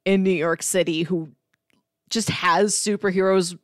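A woman's voice: fairly low pitch, fairly even intonation, a little slow, no echo, studio quality. A woman co-hosting a podcast in casual, conversational chat. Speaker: podcast co-host.

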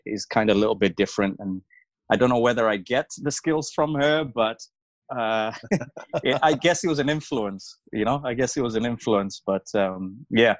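Man in his 40s, a former pro footballer guest, low voice, dry close sound, rambling delivery with lots of fillers. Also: dry recording. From Zambia and Wales. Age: early 40s. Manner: rambling, many fillers and restarts. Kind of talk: casual reflective interview talk.

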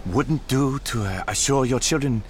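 An actor playing a troubled, tender father in an audio drama, speaking in a hesitant, halting way. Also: medium pitch, slight background noise, lightly acted. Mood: anguished. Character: troubled, tender father emigrating with his young children.

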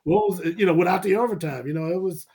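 A man's voice: high voice, fast, a loud crowd. A man, chatting casually about sports, rambling and laughing often. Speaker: podcast guest.

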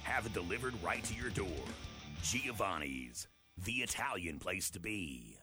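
A man's voice: deep voice, expressive, measured pace, pushed and bright. A man reading a scripted restaurant commercial as a radio announcer. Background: guitar music.

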